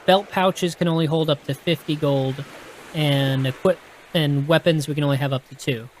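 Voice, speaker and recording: A man's voice: a little high, steady tone, normal speed, slight background noise. Texan man reading a gamebook live. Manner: casual and explanatory, with frequent restarts and self-corrections. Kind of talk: reading a gamebook aloud and chatting casually with a live audience.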